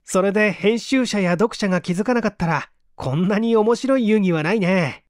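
Man acting an eager, high-pitched scheming writer. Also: moderate pace, no echo, studio quality, close to an everyday voice. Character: scheming male mystery writer, eager, pleased with his mischief. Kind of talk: dramatised storybook reading.

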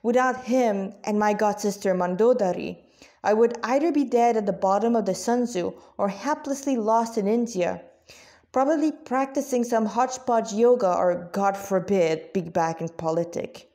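A woman reading the part of a serene, pious devotee in a story, measured and earnest. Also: mid-range voice, dark tone, lightly acted. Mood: humble. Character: serene, pious devotee, humble and gently teasing.

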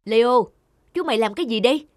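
A man's voice: very high-pitched, animated, a little fast, heavy background noise. A man voicing a doting middle-aged cat owner in a cartoon fable, with an avuncular, folksy manner. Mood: scolding. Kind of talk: animated moral fable dubbing. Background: music.

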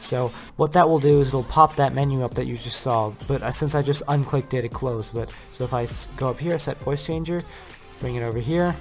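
A man hosting a casual software tutorial, explaining things step by step. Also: medium pitch, brisk. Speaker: video tutorial host. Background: faint music.

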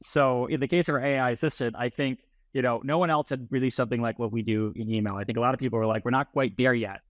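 A man's voice: mid-range voice, fairly even intonation, quick pace, no room sound. A man around forty, explaining things conversationally as an interview guest. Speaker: startup founder, interview guest. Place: indoors on a video call, plain room.

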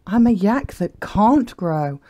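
Storybook little yak, female, wistful but plucky, mellow and very animated; performed by a woman. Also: medium pitch, takes it slowly, dull audio, voice pushed up. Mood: sad longing. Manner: expressive, sing-song rhyming delivery. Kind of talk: children's picture-book character voice.

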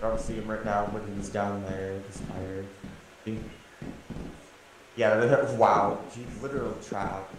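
A man's voice: lowish voice, steady tone, unhurried, long pauses, heavy reverb, noisy background. A man on game voice chat who laughs often and yelps when surprised. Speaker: gamer in group voice chat. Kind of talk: casual gaming chat.

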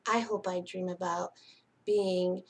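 A middle-aged woman hosting a children's story time, speaking and reading aloud in a gentle, singsong way to young children. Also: fairly low pitch, level tone, slow. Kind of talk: children's picture-book read-aloud. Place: small indoor space hung with curtains and string lights.

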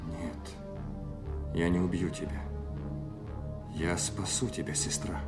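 A low-voiced young-hearted puritan officer, devout and obedient. He declaims solemnly and earnestly in radio drama style, with very loud music underneath. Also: fairly even intonation, slow pace. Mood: fervent, shaken. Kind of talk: classic radio drama acting.

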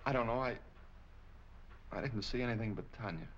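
An actor playing an agitated, stammering young murder suspect in a 1940s melodrama film. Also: male voice, on the low side, fairly quick, a bit bright, natural voice. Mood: shaken, halting. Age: young adult. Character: rattled, defensive young male murder suspect. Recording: muffled, hissy 1940 film soundtrack.

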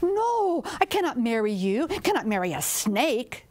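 A stubborn young village girl from a folktale, as a woman storyteller plays her. Her voice is high and her words come in short, blunt, emphatic bursts, firm with an unwanted suitor. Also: big pitch swings, measured pace, darkish tone. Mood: firm refusal. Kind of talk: animated oral folktale telling.

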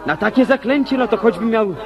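An actor playing an obliging, flattering gentleman at a ball in a radio comedy, courteous and effusive. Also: very high-pitched, brisk, crowd noise. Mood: weary gallantry. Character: gallant, weary gentleman dancer, obliging and flattering. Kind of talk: stage-comedy radio play acting.

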